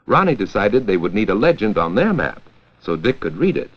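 An American man narrating a 1940s educational film from a script, with a clear, measured storytelling delivery. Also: fairly low pitch, very animated. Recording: dull, lo-fi 1940s 16mm film soundtrack.

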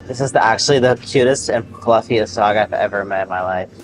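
A man's voice: fairly low pitch, a little fast, music in the background, rough recording. A young man vlogging in a casual, joking way, talking straight to the camera through a clip-on mic. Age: young adult. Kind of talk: casual travel vlog. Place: outdoors on city streets, in a rickshaw and a small shop. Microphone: small clip-on mic with a wind muffler.

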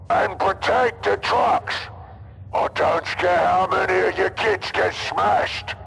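A man's voice: high-pitched, full character voice, poor audio. A man playing a brutish, bossy ork war boss in a video game, with a growling voice and crude grammar. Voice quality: gravelly, growling. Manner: crude broken grammar, barking orders. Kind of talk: video game character acting.

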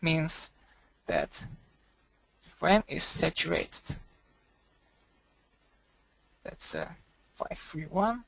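A man presenting a software tutorial as a screencast voice-over, speaking haltingly in short broken phrases. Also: a little high, wildly swooping pitch, gravelly, husky, no echo, poor audio.

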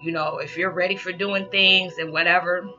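A loud, rowdy woman in her thirties talks straight to her camera, with lively hand gestures, giving motivational advice. The sound is dull and lo-fi from a laptop mic, with music playing clearly behind her. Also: lowish voice, normal speed. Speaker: vlogger. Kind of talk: casual motivational talk to camera. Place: small living room indoors. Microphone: laptop built-in mic.

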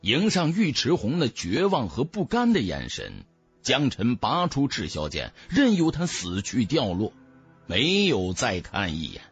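A man narrating an audiobook in a dramatic, theatrical, expressive storyteller's manner. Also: medium pitch, measured pace, breathy edge, faint music behind.

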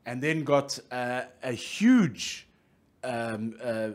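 A middle-aged man with a South African accent hosting a radio interview, jokey and lively, often restarting his sentences. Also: medium pitch, drawn-out words. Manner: jokey, lively, restarts a lot, plugs the station. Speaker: radio host.

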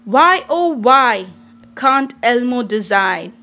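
A woman reading a children's storybook aloud in an expressive, deliberate, child-directed way. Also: a little high. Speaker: storybook reader.

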